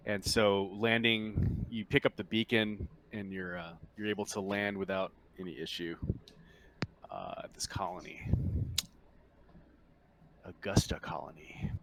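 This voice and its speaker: A man improvising narration as the game master of a tabletop roleplaying game, haltingly searching for words. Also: low voice, fairly even intonation, vocal fry, fairly bright tone, slight background noise.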